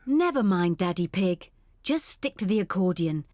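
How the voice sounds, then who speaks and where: A gentle mummy pig. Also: female voice, on the low side, very animated, normal speed, dry recording, studio quality. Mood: reassuring. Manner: soothing, reassuring. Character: calm, kind mother pig. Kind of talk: cartoon voice acting.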